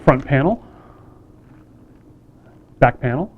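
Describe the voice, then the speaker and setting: A man casually demonstrating a radio kit, plain-spoken and relaxed, with frequent fillers. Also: medium pitch, takes it slowly, phone-quality sound, a little hiss or hum. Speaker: company staff demonstrating a kit.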